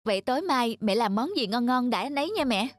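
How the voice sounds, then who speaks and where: A young woman plays a spoiled, cheerful cartoon daughter with a high voice. She whines and wheedles in a bubbly way. A clear music track runs underneath. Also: expressive, fast, close to an everyday voice. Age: young adult. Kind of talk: cartoon dub acting. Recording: studio voice-over with background music.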